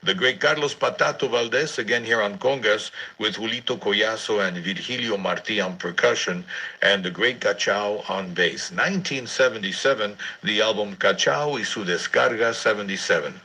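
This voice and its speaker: A man's voice: lowish voice, moderate pace, sharp tone, breathy edge, low-quality recording. A man presenting a jazz programme as a radio DJ.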